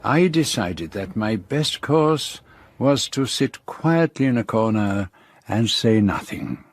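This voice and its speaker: An actor playing a courteous, fastidious detective in a radio drama, measured and precise, with a French-speaking Belgian accent. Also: male voice, lowish voice, lively intonation, no room sound. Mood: wry narration. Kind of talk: radio drama dialogue and narration.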